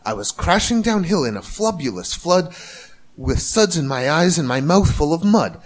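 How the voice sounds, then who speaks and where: A man's voice: fairly high pitch, sing-song, normal speed. A man narrating a rhyming storybook with theatrical, rhythmic delivery. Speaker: amateur storybook reader.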